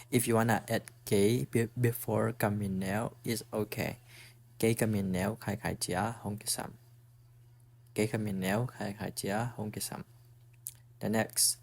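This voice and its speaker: A man teaching a language lesson in a casual, patient way, slowly repeating phrases for learners to practice. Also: on the low side, creaky, darkish tone, a little hiss or hum.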